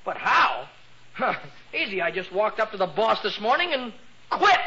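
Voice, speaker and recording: An American man playing an excitable teenage boy in a 1940s radio comedy, telling his story with breathless mock drama. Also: sits high, moderate pace. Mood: self-assured. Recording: noisy, dull 1940s radio recording.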